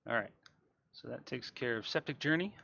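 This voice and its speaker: A man giving casual, matter-of-fact speedrun commentary, explaining his moves over loud game vehicle noise. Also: medium pitch, lively intonation, normal speed, creaky, highs cut off.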